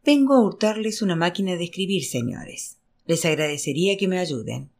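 A woman's voice: fairly low pitch, animated, a little fast, a little mellow. A woman voicing a tiny, solemn, courtly man from a novel, speaking formally with very precise diction.

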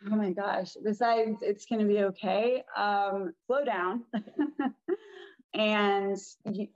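A woman with a raspy voice, chatting as a guest in an interview, rambling and restarting her sentences. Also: mid-range voice, slow pace, a little mellow, muffled recording. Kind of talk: casual interview talk.

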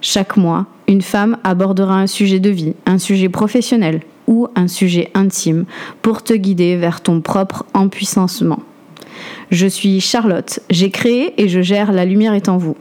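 A woman hosting a podcast, reading a calm, measured scripted introduction. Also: mid-range voice. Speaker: podcast host.

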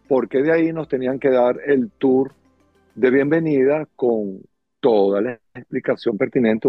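A middle-aged Venezuelan man recounts his experiences conversationally, slipping into little acted dialogues. The sound is dry and somewhat dull, with clear music playing behind him. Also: medium pitch, dry recording. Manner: animated, acting out little dialogues. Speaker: guest, circus performer and dancer. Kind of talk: conversational storytelling in an interview.

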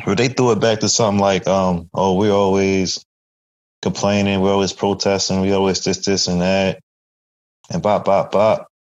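Very deep man, dull lo-fi sound. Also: normal speed. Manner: animated storytelling with acted-out quotes. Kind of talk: casual podcast conversation.

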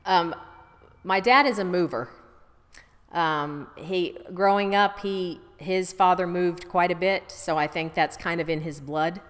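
A middle-aged woman speaks low and unhurried as an interview guest, recounting her family's past. Her easygoing telling wanders through fillers and restarts. The room leaves a long reverb on her voice. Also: steady tone. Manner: chatty, easygoing storyteller with fillers. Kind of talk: casual life-story interview.

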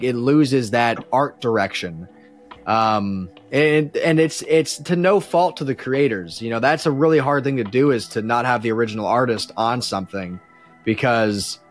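Man talking casually and enthusiastically. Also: medium pitch. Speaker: podcast guest. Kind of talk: casual podcast discussion.

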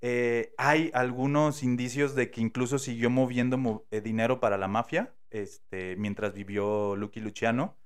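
A man with a Mexican accent, casually telling a true crime story on a podcast, slangy and animated, acting out the quotes. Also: medium pitch, normal speed, hardly any pauses, smooth. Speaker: podcast host.

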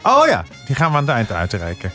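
A man's voice: medium pitch, huge pitch swings, fast, a bit bright. A man with a Netherlands Dutch accent chatting casually as a panelist on a podcast. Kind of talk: casual chat. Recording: music bed behind the voice.

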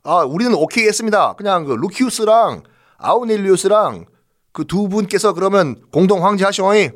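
Man doing a folksy old Roman senator, pitched up. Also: animated, fairly quick. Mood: brisk approval.

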